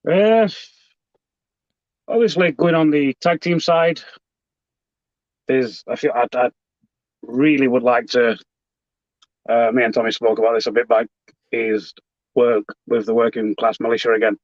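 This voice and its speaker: A man, a wrestler being interviewed, talking in a halting, plainspoken way over a video call. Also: mid-range voice, fairly even intonation, dry recording. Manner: halting, plainspoken, many fillers. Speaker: professional wrestler guest. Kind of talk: casual interview chat. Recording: dull lo-fi call audio.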